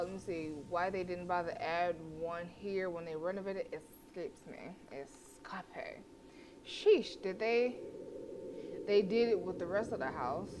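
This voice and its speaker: A woman's voice: on the low side, background noise. A woman streamer reading a horror visual novel aloud, breaking off into joking, swearing asides. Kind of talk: reading game text aloud with asides to chat.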